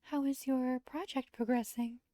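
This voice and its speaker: A streamer putting on a gentle young woman from a visual novel, pitched high and politely formal. She sounds soft-hearted and earnest, in a close, dry recording. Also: fairly even intonation, unhurried, dry recording.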